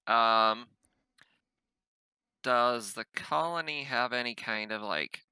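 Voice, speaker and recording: A man playing a tabletop roleplaying game, casually and somewhat tentatively planning out loud. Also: medium pitch, takes it slowly, clean tone, no echo.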